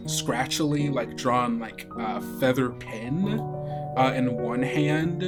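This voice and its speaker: A game master improvising narration for a tabletop actual play, haltingly and searching for words. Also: medium pitch. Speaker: game master and podcast host. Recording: music under the voice. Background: plucked guitar music.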